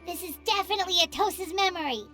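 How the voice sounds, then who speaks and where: A woman voicing a tiny, excitable childlike fairy companion in a video game, with a squeaky voice. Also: rapid-fire, faint music behind. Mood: excited.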